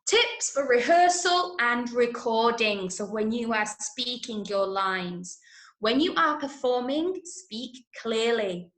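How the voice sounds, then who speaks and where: Woman, English accent, slowish instructional delivery, children's workshop teacher, frequent check-ins, roomy echo. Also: on the high side, animated, fairly bright tone. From Manchester, England. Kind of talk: online workshop lesson for children. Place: indoors, online video session, roomy sound. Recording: roomy echo on online call.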